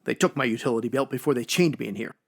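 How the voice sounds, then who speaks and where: A man playing an earnest, exasperated costumed crime-fighter in a superhero radio drama, with a gravelly voice. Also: medium pitch, very fast. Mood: weary, urgent. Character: earnest, weary male costumed crime-fighter, chained captive.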